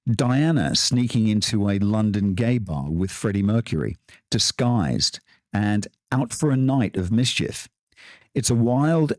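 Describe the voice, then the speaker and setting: A man hosting a podcast, telling a story in a dramatic way with pauses for effect before he interviews his guest. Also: on the low side, darkish tone, no room sound, studio quality. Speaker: podcast host. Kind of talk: scripted podcast monologue, then interviewing.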